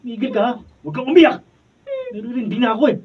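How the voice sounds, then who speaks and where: A man's voice: extremely high pitch, animated, fast. A man playing an irritable, scolding husband and father in a Filipino radio drama. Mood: angry. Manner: snapping, scolding outbursts. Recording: distant mic, dull faintly noisy sound.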